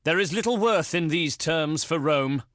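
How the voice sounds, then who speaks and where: Game diplomat role: self-important Roman envoy, pompous and oratorical, fairly high male voice with big pitch swings. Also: animated, a bit bright, no echo, clean studio sound. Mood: scornful refusal. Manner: pompous, oratorical, deliberate delivery. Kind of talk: scripted video game diplomacy voice acting.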